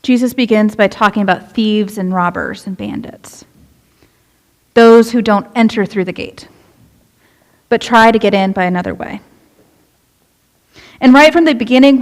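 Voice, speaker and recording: A young woman, a youth minister, preaching a casual, conversational sermon in a church and asking the congregation questions. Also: fairly high pitch. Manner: chatty, relatable, asks the congregation questions. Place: church sanctuary.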